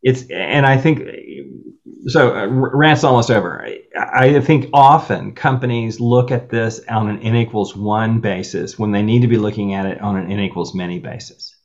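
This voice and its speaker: A man on a discussion panel, telling animated stories with wry asides. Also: on the low side, moderate pace. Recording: muffled lo-fi audio.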